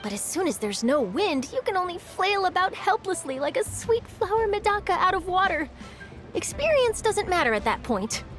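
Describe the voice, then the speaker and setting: An actress voicing a cheerful young woman reporter in a video game, bright and bubbly with quick asides. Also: extremely high pitch, big pitch swings, soft background music, heavily acted. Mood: upbeat.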